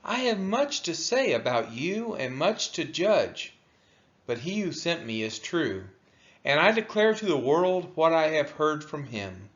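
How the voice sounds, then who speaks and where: Slow, expressive man reading scripture aloud. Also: fairly high pitch, lo-fi sound. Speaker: scripture reader. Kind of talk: solemn scripture read-aloud.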